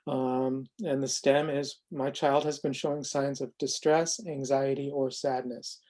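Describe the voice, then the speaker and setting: A mid-pitched presenter with a soft-edged, mellow voice narrates a tool demonstration. The delivery is measured and explanatory, with frequent pauses partway through sentences. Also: little pitch movement. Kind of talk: semi-scripted explanatory demo narration.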